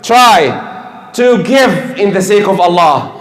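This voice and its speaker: Older preacher, echoey room. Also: male voice, high voice, lively intonation, takes it slowly. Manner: emphatic, repeats key phrases. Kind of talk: sermon.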